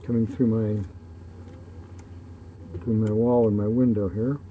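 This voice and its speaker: A man giving casual, off-the-cuff video commentary in a matter-of-fact way, often pausing between phrases. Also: fairly low pitch, level tone. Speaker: local shipping news publisher filming ships. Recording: muffled dark sound, clear background noise.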